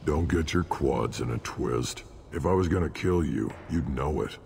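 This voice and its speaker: An actor playing a surly, blunt alien warrior in a video game, gruff and dismissive, with a deep gravelly voice. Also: male voice, normal speed, a little mellow. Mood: scornful, gruff. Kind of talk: video game character acting. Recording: long reverb, clear background noise.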